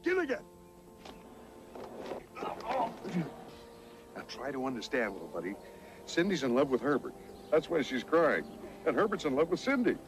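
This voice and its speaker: An actor playing an exasperated, bossy but fond middle-aged skipper in a TV sitcom, scolding and coaxing. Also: male voice, mid-range voice, normal speed, close to an everyday voice. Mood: urgent, coaxing. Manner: scolding, animated. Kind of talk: broad TV sitcom acting. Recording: noisy old TV soundtrack.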